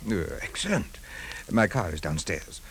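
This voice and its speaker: A courtly, menacing foreign villain in a 1950s radio drama. His voice is fairly low with lively, suave inflection and formal, commanding phrasing, on a faintly noisy old recording. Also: measured pace, creaky, full character voice.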